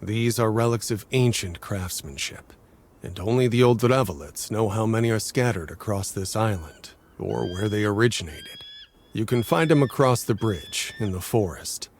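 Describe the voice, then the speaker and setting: An actor playing a cautious, solemn werewolf leader in a game cutscene, with a husky voice and a measured, guarded delivery. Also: male voice, lowish voice, lively intonation, slight background noise. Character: cautious, solemn male werewolf leader sheltering his people. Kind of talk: dramatic game cutscene acting.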